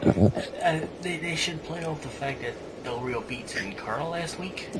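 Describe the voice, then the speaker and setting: A man's voice: medium pitch, lively intonation, normal speed. A man chatting casually on a podcast panel, joking and sometimes stammering. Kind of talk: casual group chat. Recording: noisy group podcast audio.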